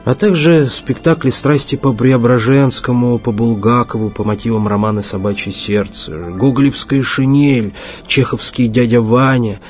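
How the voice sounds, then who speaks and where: A man reading scripted radio documentary narration in a formal, deliberate way with dramatic pauses. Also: mid-range voice, steady tone, a little mellow. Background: faint music behind the voice.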